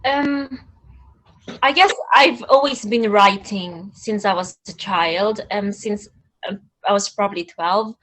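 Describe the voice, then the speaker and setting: Soft-spoken woman on a video call. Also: mid-range voice, slow, poor audio. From Philippines. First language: Tagalog. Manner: soft-spoken, searching, with frequent ums. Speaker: guest poet. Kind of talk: interview answers.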